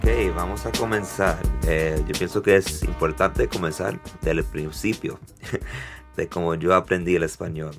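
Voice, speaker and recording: A man in his thirties from New York hosting a casual solo podcast in Spanish, with an accent mixing Dominican and American English, halting and correcting himself often. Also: deep voice, steady tone, over music. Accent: mix of Dominican Spanish and American English. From New York, United States. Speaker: podcast host.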